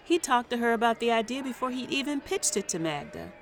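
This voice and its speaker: A woman's voice: on the high side, expressive, brisk, soft background music, close to an everyday voice. A woman playing an eager, defensive woman caught meddling in a scripted drama.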